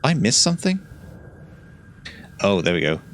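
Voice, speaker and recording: A man's voice: lowish voice, very animated, normal speed, fairly bright tone, a little hiss or hum. A man giving casual playthrough commentary on a game, thinking aloud in short reactions. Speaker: gameplay video host. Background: game music.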